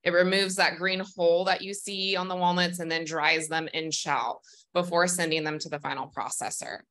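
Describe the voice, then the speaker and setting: A young woman from Northern California with a bright, forceful voice that sits a little low. She talks in a friendly, explanatory way on a conference panel, with plenty of ums. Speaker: farmer panelist. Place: conference room with audience.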